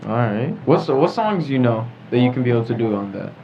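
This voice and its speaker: A man's voice: mid-range voice, sing-song, fairly quick. A teenage or young adult American man hosting a casual group chat show. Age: teens or young adult. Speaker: host of a teen talk show. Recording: dull lo-fi audio with clear background noise.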